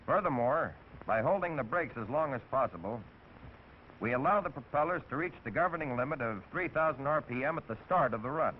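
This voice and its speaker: A man's voice: mid-range voice, expressive, fairly quick. A man narrating technical procedures step by step in a scripted 1940s training film. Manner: methodical, step-by-step. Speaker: instructional narrator. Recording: tinny, hissy 1940s film soundtrack.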